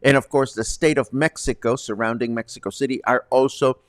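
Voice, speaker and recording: A man's voice: mid-range voice, fairly even intonation, a little fast, no room sound. Guy hosting a local news show, chatty, little wry asides, dry close sound. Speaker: host presenting news and interviews. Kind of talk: chatty presenting to viewers.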